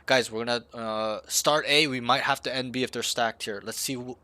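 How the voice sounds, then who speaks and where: A man's voice: mid-range voice, fairly even intonation, normal speed, pushed and bright, no echo. A man coaching a player over voice chat, talking directly, acting out team callouts and swearing casually. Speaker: game coach.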